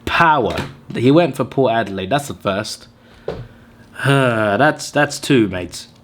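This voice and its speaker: A hoarse man who is under the weather, hosting a channel and tallying wrong viewer tips with mock exasperation. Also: medium pitch, expressive, slow pace, a little hiss or hum. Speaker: channel host. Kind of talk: casual commentary reading out viewer tips.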